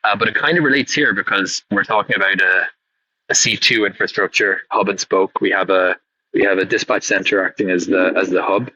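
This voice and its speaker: A man's voice: low-pitched, fairly even intonation, fairly quick, sharp tone, dry recording. A man thinking aloud in a casual, rambling way, often correcting himself as he asks a question. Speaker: podcast listener sending in a question. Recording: muffled, clearly noisy voice recording.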